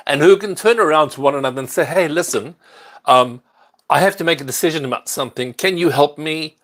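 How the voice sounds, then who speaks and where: An older man from South Africa, hosting a casual livestream and chatting earnestly with viewers. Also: medium pitch. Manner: unhurried, earnest, warm greetings to viewers. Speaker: livestream host.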